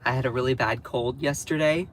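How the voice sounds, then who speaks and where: A man's voice: medium pitch, fairly even intonation, a little fast, slight background noise. A man living in North Carolina, sounding a bit nasal as he recovers from a cold, hosting a casual political livestream and talking to his chat. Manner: chatty, addresses the live chat directly. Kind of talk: casual live commentary.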